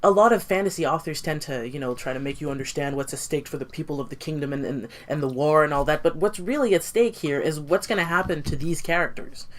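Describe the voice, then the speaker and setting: A woman's voice: sits low, fast, few pauses, a little hiss or hum. A woman whose first language is not English, thoughtfully discussing a novel on a book podcast panel, with many restarts.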